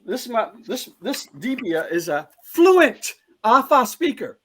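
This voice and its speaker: A man's voice: very high voice, very animated, takes it slowly, a bit bright. A man speaking as a panelist in a live discussion, earnest and emphatic, often repeating a phrase as he builds toward his point.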